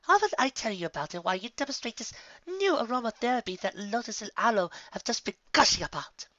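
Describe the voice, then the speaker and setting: A man's voice: very high voice, animated, fast talker, bright, forceful tone, no room sound, highs cut off, voice pushed up, full character voice. A man voicing a refined, gushing female fashionista pony in a storybook reading, with theatrical, genteel delivery. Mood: curious, sociable. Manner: theatrical, genteel, fussy endearments.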